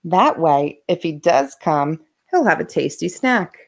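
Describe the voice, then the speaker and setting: A woman narrating a children's bedtime storybook with gentle, dramatic emphasis for young listeners. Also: low voice, animated, a little slow. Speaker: host of a bedtime story read-aloud channel.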